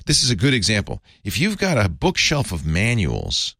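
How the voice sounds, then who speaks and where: A man co-hosting a podcast, chatting casually about software and explaining with asides and chuckles. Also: fairly low pitch, wildly swooping pitch, normal speed, gravelly, dry recording, studio quality. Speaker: podcast co-host. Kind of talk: casual tech chat.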